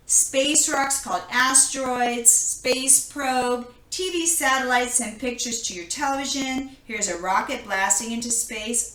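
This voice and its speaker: Female storybook reader, clear-cut bright tone, expressive read-aloud for young children, roomy echoing indoor recording. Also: fairly high pitch.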